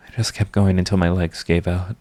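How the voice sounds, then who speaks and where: A man's voice: low voice, flat delivery, fairly quick, mellow, no room sound, natural voice. A man playing a proud villain who is secretly devoted to his rival, in an intimate, emotional audio roleplay. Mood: urgent, pained. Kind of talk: dramatic ASMR audio roleplay.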